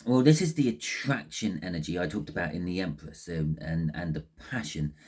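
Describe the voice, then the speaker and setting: A man with a very low, dark voice reads tarot cards in a loose, rambling way. His throat sounds phlegmy and husky. He repeats words and restarts his sentences often. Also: normal speed. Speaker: tarot reader. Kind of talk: casual tarot reading monologue.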